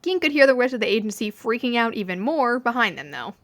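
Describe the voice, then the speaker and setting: A woman reading fan fiction aloud as an expressive narrator. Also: a little high, quick pace. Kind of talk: expressive scripted story narration.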